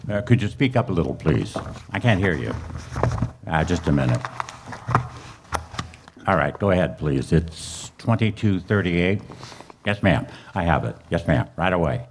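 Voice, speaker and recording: A man's voice: sits low, animated, measured pace, pauses often, background noise. A man playing a terse, polite, matter-of-fact police detective in a radio drama. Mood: businesslike, dry. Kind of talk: radio drama acting with clipped narration.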